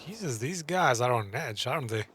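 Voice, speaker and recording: A man's voice: medium pitch, expressive, moderate pace, fairly bright tone. A man giving casual, musing playthrough commentary, reacting aloud as a gaming YouTuber. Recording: noisy game audio behind the voice.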